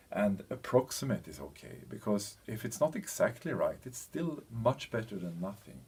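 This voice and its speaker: Low-voiced, husky man explaining haltingly. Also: a little mellow. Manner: hesitant, halting, searching for words. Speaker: presenter of a language training package. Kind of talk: explanatory presentation, loosely scripted.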